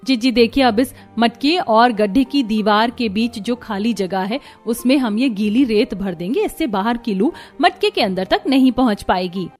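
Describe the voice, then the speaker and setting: A woman's voice: on the high side, lively intonation, moderate pace, few pauses, darkish tone, faint music behind. A woman playing a hardworking, earnest young village daughter-in-law in a cartoon.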